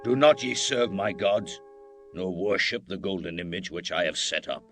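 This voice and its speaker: Proud, commanding low-voiced king, music behind. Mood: menacing. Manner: grand, declamatory, deliberate. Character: proud, wrathful, commanding ancient Babylonian king. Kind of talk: dramatized scripture acting.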